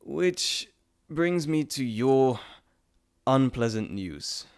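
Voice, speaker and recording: A playful trickster god, acted by a man, speaks directly to the listener in a theatrical roleplay monologue. His mid-pitched voice swings widely as he chats and teases, full of mischief. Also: animated, very slow, clear, resonant tone. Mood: grave, explanatory. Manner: theatrical, chatty, teasing. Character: playful, teasing male Greek messenger god, cheeky trickster.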